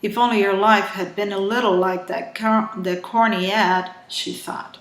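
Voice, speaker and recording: A woman's voice: medium pitch, steady tone, a bit bright. Brazilian-accented woman reading a thriller. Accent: Brazilian Portuguese. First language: Portuguese. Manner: careful, halting delivery with frequent self-corrections. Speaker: author reading her own novel. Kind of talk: reading a thriller novel aloud. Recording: roomy echo.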